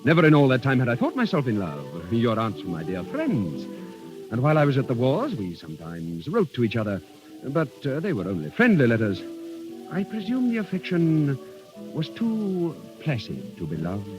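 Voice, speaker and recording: An actor playing an earnest, gallant army captain in love in a vintage radio drama, with formal, courtly, hesitant phrasing. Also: male voice, mid-range voice, huge pitch swings, moderate pace, low-quality recording. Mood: honest, hesitant. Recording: old radio recording with music behind.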